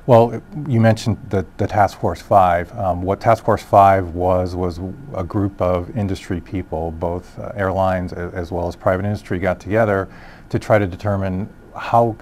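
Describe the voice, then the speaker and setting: A man giving explanatory interview answers as an industry expert, haltingly rephrasing as he goes. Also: low voice, darkish tone, slight background noise. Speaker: industry expert, solutions director.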